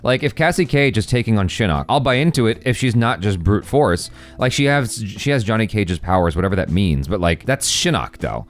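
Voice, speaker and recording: Low-voiced man chatting casually. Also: big pitch swings, fairly quick, few pauses. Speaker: reaction video host. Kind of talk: casual opinionated chat.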